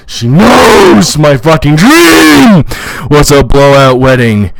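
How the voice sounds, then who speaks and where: Man putting on an indignant, melodramatic bridezilla, slowly drawn out. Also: on the high side, wildly swooping pitch, vocal fry, slight background noise, full character voice, rough recording. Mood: outraged, theatrical. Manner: theatrical, indignant, profane. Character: entitled, melodramatic, self-pitying bride-to-be. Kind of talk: dramatic comedic reading.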